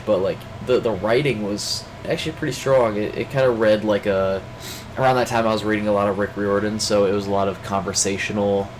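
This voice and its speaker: A man's voice: on the low side, a little hiss or hum, poor audio. A young man with a stuffed-up nose, speaking off the cuff in a casual daily check-in and rambling with lots of fillers and restarts. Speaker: vlogger.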